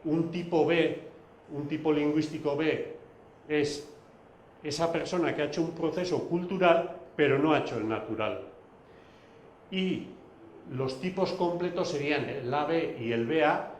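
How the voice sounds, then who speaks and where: A man whose first language is Basque, giving a measured recorded lecture with many pauses and restarts. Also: a little high, roomy sound, slight background noise.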